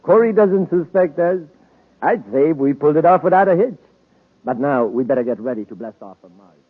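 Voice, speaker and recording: An actor playing a smug, scheming crook in a 1950s radio serial, self-satisfied and conspiratorial. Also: male voice, fairly high pitch, lively intonation, brisk. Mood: smug, gloating. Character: smug, scheming male criminal accomplice. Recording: muffled vintage radio recording.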